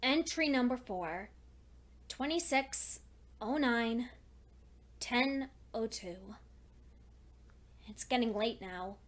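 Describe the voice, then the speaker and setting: A lonely, studious pony mare acted by a woman dictates her journal in a high, feminine voice. She is anxious yet clinging to hope, speaking earnestly and deliberately, with dramatic pauses suited to a dark fan fiction reading. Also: lively intonation. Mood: weary, uneasy.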